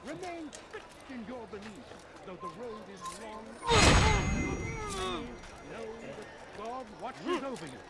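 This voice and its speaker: An actor playing a fervent, pious street orator exhorting medieval townsfolk in scripted video game dialogue. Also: male voice, very high-pitched, full character voice.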